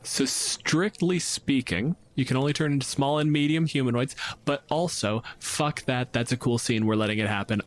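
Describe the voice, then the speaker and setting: A man's voice: mid-range voice, normal speed. Casual man running a tabletop game. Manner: informal, freely swearing. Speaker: game master.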